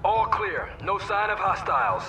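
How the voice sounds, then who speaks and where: A man's voice: high voice, sing-song, normal speed, far from the mic, heavy background noise. An actor playing a soldier in a war video game, reporting to his commander in clipped military phrases. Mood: businesslike. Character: embattled male soldier radioing his commander. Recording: loud game music and battle sounds.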